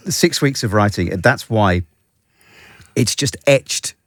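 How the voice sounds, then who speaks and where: A man's voice: lowish voice, lively intonation, takes it slowly, noisy background. A man with a British accent, working as a radio interviewer in a conversational style.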